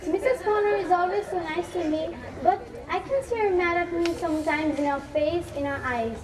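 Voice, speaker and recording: A young woman on stage playing an anxious, apologetic schoolgirl who struggles to read, halting and pleading. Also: extremely high pitch, distant mic, a crowd in the background. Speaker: student actor in a school play. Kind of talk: amateur stage play acting. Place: echoey hall, distant microphone. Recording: echoey, noisy stage recording from afar.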